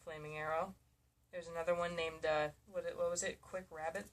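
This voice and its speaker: A woman's voice: lowish voice, little pitch movement, fairly quick. A woman co-hosting in casual comedic banter, joking and irreverent. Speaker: co-host commenting on a book. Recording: noisy amateur video sound.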